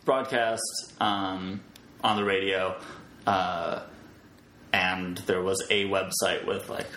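In a roomy, echoing recording with faint noise, a man with a fairly low voice talks casually as a podcast guest. He tells his story hesitantly and with self-deprecation. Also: steady tone, slow, sharp tone. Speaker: podcast guest, web developer. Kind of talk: casual conversational interview. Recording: roomy echo, clear background noise.

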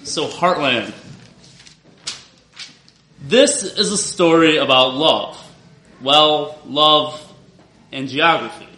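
A man telling a personal story to a live audience in a wry, confessional way, speaking loudly without the microphone. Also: a little high, very slow, pauses often. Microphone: not using the microphone. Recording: roomy live venue sound.

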